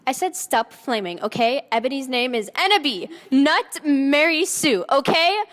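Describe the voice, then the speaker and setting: A woman's voice: high-pitched, lively intonation, measured pace, a bit bright, slight background noise. A woman acting a snappy, vain teenage gothic vampire girl in a mock-dramatic, exclamatory fan fiction reading. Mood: indignant. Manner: breathless, exclamatory, shrieks at outbursts. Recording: long echoing reverb.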